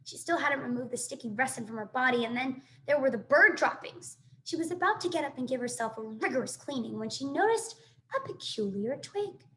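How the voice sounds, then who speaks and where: A woman reading a children's book aloud in an expressive, animated storytelling manner. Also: sits high, a little fast, a little hiss or hum, poor audio. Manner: animated, dramatic sound effects and asides.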